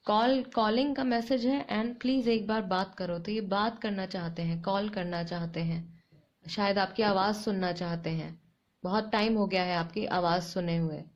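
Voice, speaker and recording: Slightly hoarse Indian woman reading tarot. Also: medium pitch, a little mellow, dull audio. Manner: unhurried, reflective, repeats phrases for emphasis, addresses viewers directly. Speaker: tarot reader. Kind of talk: pick-a-card tarot reading to viewers.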